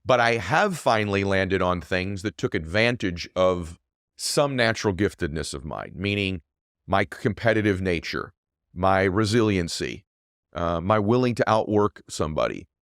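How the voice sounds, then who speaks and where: A middle-aged man with a deep voice, hosting a show and giving an emphatic motivational monologue that often asks "right?". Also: expressive, normal speed, fairly bright tone, no echo, clean studio sound. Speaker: podcast host.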